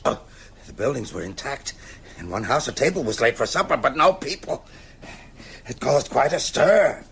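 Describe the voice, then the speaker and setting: An actor in an audio drama playing an older, bookish hospital patient with a gravelly voice and measured storytelling. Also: male voice, mid-range voice, lively intonation, fairly bright tone, full character voice. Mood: musing. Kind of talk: broadcast audio drama acting.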